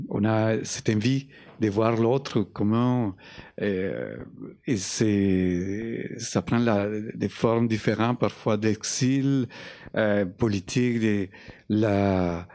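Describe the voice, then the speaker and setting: A man from Colombia, a cartoonist and illustrator, speaking haltingly as a guest on a festival panel, with many fillers and restarts, in a language that is not his first. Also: lowish voice, a little mellow.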